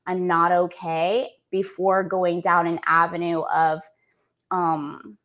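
A woman's voice: lowish voice, flat delivery, slow pace, dull audio. A woman in her thirties from Canada, an executive coach speaking earnestly as a podcast guest, with lots of "like" fillers. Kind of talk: podcast interview.

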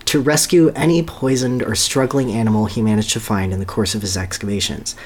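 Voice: medium pitch, steady tone, a little fast. A podcast host gives a dramatic reading of a story, with casual asides and an amused manner. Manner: amused, breaks into laughter while reading.

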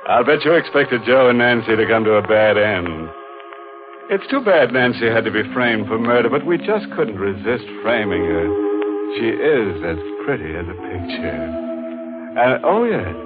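Mock-sinister horror host over music. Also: male voice, lowish voice, very animated, normal speed. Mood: gleefully macabre. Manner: teasing, mock-sinister, punning. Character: gleefully macabre, sardonic male horror-show host. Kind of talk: 1940s radio horror hosting. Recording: muffled old radio recording. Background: prominent music.

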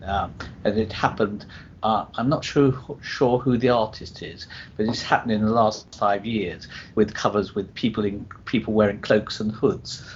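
A man's voice: fairly even intonation, moderate pace. An older British man, a publishing executive, chatting casually as a guest in a panel conversation. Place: hotel room at a convention, internet call. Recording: muffled internet call, faint rumble.

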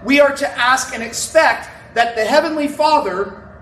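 A man preaching a sermon to his congregation without a microphone, talking in a loud, conversational way with asides to the people. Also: very high voice, moderate pace, a little hiss or hum. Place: church sanctuary. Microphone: no microphone, recorded from a distance. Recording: roomy, distant church recording.

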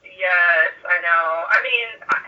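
A woman chatting casually as a podcast guest, gushing and rambling excitably over a tinny remote call. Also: lowish voice, slow, distant mic. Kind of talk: casual chat.

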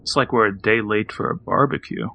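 An actor playing a sardonic, wisecracking mercenary with a gravelly, drawling, sarcastic delivery in an audio drama. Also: male voice, lowish voice, brisk, dull audio, slight background noise.

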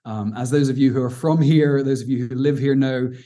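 A man's voice: medium pitch, steady tone, brisk, dark tone. A man from Cornwall with an English accent, giving an earnest, informal teaching talk on prayer as a guest speaker, with frequent fillers and restarts. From Cornwall, southwest England.

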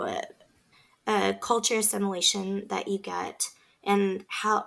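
A woman's voice: mid-range voice, vocal fry, a bit bright. A woman in her thirties, a podcast guest, talking in an earnest, reflective way with many fillers. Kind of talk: casual conversation.

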